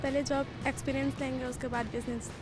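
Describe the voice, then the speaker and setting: A young woman student replies quietly and tersely in a high, flat voice. Faint music runs under the interview. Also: fast. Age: young adult. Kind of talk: casual interview answers.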